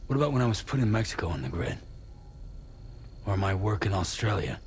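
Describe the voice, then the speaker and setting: Gruff troubled worker, very low voice, creaky, blunt, game cutscene, faint noise. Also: male voice, sing-song, fairly quick. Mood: troubled, challenging. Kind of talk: cinematic game dialogue.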